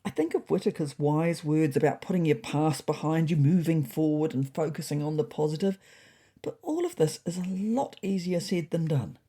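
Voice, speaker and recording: A woman voicing an anxious, earnest pet cat reading its diary in a measured, wistful storytelling manner. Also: deep voice, warm tone, husky. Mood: sad, troubled. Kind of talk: first-person diary narration.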